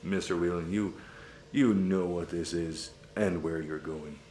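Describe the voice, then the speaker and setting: An actor playing a smug, theatrical crime boss in a sci-fi audio comedy, mock-polite and sardonic. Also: male voice, deep voice, a little slow, warm tone. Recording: echoey room, faint background noise.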